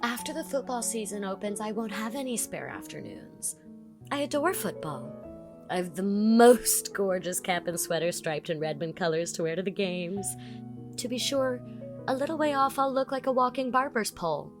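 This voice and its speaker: Actress as a breezy young college belle. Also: female voice, medium pitch, animated, normal speed, over music, natural voice. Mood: gossipy, animated. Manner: breezy, theatrical, self-absorbed.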